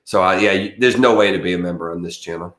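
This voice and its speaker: A man's voice: low voice, fast, fairly bright tone, echoey room, low-quality recording. A man hosting a casual true crime livestream, rambling with many fillers and restarts, a little congested from allergies. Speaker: true crime channel host. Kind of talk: casual livestream chat with viewers.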